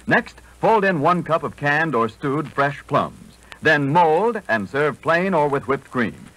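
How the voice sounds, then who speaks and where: Casting: man, vintage radio pitchman, lively and polished, slightly high voice. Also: slow, poor audio. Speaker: radio announcer. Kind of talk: 1940s radio ad read, polished and enthusiastic. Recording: vintage 1940s radio broadcast.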